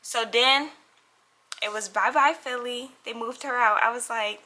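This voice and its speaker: A woman's voice: on the high side, steady tone, unhurried, sharp tone. A young American woman telling a casual storytime in a dramatic, slangy way, acting out the dialogue as she goes. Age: young adult. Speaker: storytime vlogger.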